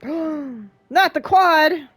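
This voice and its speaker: A woman's voice: high voice, very slow, no room sound, soft background music. A woman giving casual reaction commentary on video game scenes. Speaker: gamer commenting on cutscenes.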